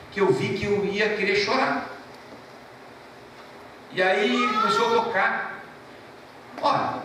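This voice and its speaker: A Brazilian pastor preaches, his voice high and swinging widely as he stresses and repeats key phrases. He sounds distant from the mic, with heavy church echo and faint room hum behind him. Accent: Brazilian Portuguese. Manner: storytelling, animated rises and drops. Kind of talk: sermon. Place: church hall. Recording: echoey church from far off.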